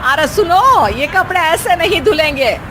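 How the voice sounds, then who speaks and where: A woman voicing a bossy, fussy older mother-in-law in an animated storybook tale, giving nagging orders and scolding. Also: extremely high pitch, measured pace, noisy background, voice pushed up. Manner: commanding, ends orders with a sharp questioning "hain?".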